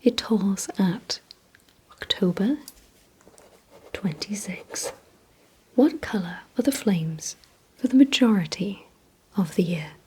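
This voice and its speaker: Slow, breathy whispering woman. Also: mid-range voice, expressive, poor audio. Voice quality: breathy, mostly unvoiced whisper. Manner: slow, deliberate, gently instructing. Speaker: ASMR host. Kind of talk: whispered ASMR vampire roleplay, addressing the listener.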